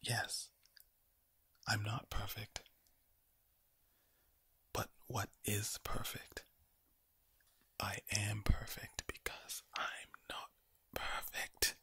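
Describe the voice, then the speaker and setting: A man speaking in a breathy, low ASMR whisper close to the microphone, deliberately repeating words in a soothing yet sinister-sounding way. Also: flat delivery, bright, forceful tone, dry recording. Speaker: ASMR performer. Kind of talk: ASMR monologue of whispered and low soft reassurance.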